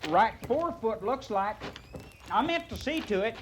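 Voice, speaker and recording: A high-voiced, folksy cavalry trooper. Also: male voice, lively intonation, normal speed. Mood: eager, obliging. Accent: American, rural western. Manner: drawling, folksy, hesitant under pressure. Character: easygoing, dreamy cavalry trooper, folksy and work-shy. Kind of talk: old-time radio western acting. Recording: noisy old radio drama recording.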